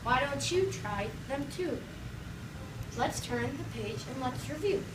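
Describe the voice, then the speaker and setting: A woman teacher reads a picture book to small children in an animated, high voice. She prompts them to join in and encourages them as she goes. She is picked up from a distance in a roomy space with loud background noise. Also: a little slow. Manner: encouraging, prompting, acting out sound effects. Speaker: children's story-time teacher. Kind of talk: read-aloud picture book to young children, interactive with questions.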